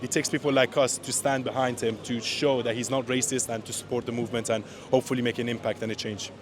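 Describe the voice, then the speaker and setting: An earnest man from Iran speaks his mind in an outdoor interview at a street rally. His pitch is mid-range for a man. He is opinionated and often breaks off to restart a phrase. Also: little pitch movement, fast talker, crowd noise.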